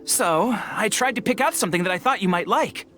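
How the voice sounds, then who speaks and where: An eager, effusive merchant. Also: male voice, high voice, expressive, brisk, a bit bright, faint music behind. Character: grateful, high-energy male merchant. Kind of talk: game dialogue.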